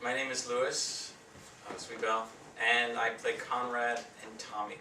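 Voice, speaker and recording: A man's voice: mid-range voice, flat delivery, a little slow. A man, a stage actor, answering interview questions in a joking, rambling way. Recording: echoey room from far off, noisy.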